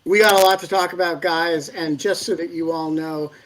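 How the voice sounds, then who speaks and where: A man's voice: a little high, steady tone, normal speed, a bit bright, a little hiss or hum, low-quality recording. A man hosting a live legal discussion from a university studio, in a loose, joking way with many fillers. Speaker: host.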